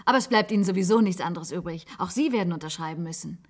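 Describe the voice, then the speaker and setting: An actress playing a wistful, resigned former secretary in a German science-fiction radio play, confiding in halting phrases. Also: female voice, on the low side, natural voice. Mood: matter-of-fact. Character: wistful former secretary, resigned patient living in an isolated institute.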